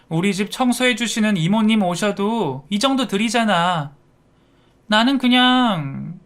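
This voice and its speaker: Guy doing a snooty young daughter in law in a story, high voice, indignant, talks down to people. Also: fairly bright tone. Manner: indignant, condescending. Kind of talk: acted dialogue in a narrated story.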